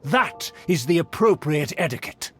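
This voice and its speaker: Pompous, animated haughty nobleman. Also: a little high, a little slow, loud music behind the voice. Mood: haughty indignation. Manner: pompous, scolding, archaic formal diction. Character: haughty, easily offended male aristocrat of a declining clan. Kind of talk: scripted fantasy video game acting.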